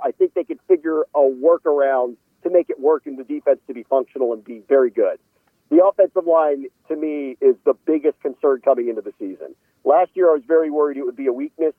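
Man, somewhat high pitch, football analyst guest, opinionated, measured, conversational, narrow phone-line sound. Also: dry recording. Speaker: sports analyst interviewed as a guest. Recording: phone-like radio call audio.

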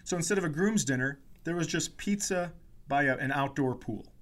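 A man's voice: a little high, lively intonation, normal speed. A man who hosts a podcast as a comedian, telling stories casually with swearing, mock indignation and quoted dialogue.

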